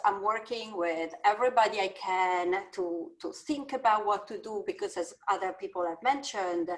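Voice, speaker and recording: Italian woman dean, halting and thoughtful. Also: medium pitch, rough recording. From Italy. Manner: thoughtful, searching for words with many fillers. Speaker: business school dean. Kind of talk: panel discussion.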